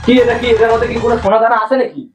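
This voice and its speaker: A man's voice: extremely high pitch, level tone, very fast. A man acting a cheeky, mocking young grandson in an amateur comedy skit. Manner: teasing, cheeky. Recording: echoey sound, faint music behind.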